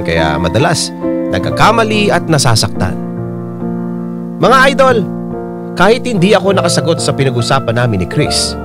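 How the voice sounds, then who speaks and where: A man with a Filipino accent narrating a scripted first-person letter for a radio drama. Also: mid-range voice. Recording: loud piano music behind. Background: piano music.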